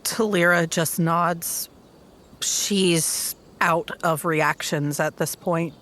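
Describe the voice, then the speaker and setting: A woman's voice: fairly low pitch, steady tone, very slow, a bit bright. A woman player describing her character's actions in a tabletop roleplaying game.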